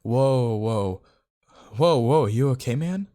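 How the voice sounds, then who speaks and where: A concerned young guy, played by a male storyteller, laid-back and slangy. He speaks in a raised pitch with hesitant, bro-like phrasing for a dramatic story. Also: expressive, a little fast, mellow, lightly acted.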